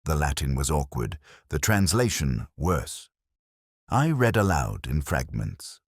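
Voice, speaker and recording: A man reading scripted first-person narration for a detective mystery in a measured, literary, reflective manner. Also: a bass voice, big pitch swings, slightly rough, no room sound, clean studio sound.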